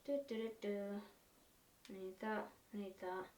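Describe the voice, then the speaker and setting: A woman's voice: medium pitch, flat delivery, measured pace, heavy background noise. A woman with a broad Yorkshire accent chatting her way through a live demo as host, in a workshop. Her manner is friendly and self-deprecating. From Yorkshire, England. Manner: chatty, self-deprecating, talks through what she is doing. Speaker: woodturner hosting a live demo. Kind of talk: chatty live-stream demonstration. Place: home workshop. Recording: echoey workshop, voice from a distance.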